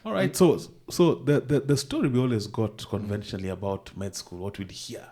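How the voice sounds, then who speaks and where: A man's voice: fairly low pitch, sing-song, fairly quick, warm tone. A man hosting a podcast, asking curious, short prompting questions in a casual interview. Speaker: podcast host.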